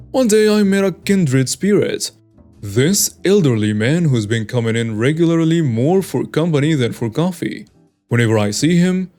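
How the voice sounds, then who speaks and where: A man narrating online stories in a steady, even read, his voice sitting fairly high for a man. Soft background music plays faintly under the narration. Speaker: story narrator.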